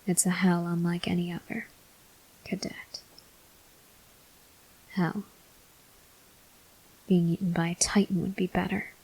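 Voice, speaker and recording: A woman voicing a brooding, weary male vampire soldier in an ASMR roleplay, in a breathy, husky whisper with slow, grave delivery. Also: fairly low pitch, little pitch movement, a little mellow, low-quality recording. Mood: bleak, brooding.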